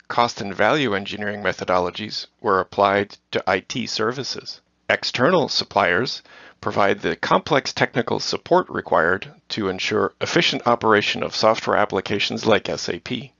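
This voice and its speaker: A middle-aged man from the Netherlands, a guest giving measured, prepared answers in a corporate interview. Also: lowish voice, gravelly, sharp tone, no echo. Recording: dull lo-fi dry sound.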